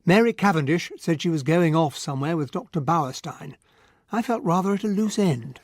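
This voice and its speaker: Radio drama lead: man as genial English officer, courteous, English accent, animated pitch movement. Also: moderate pace, a little hiss or hum, lightly acted. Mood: reflective narration. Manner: polite, well-spoken. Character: genial, gentlemanly English army captain narrating his memories. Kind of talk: radio drama acting and first-person narration.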